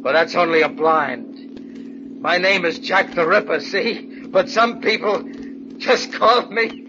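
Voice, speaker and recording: An actor playing a tormented, menacing bridegroom in a theatrical, brooding 1940s radio thriller style. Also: male voice, sits high, slow. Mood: dazed, desperate. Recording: muffled, noisy vintage radio recording.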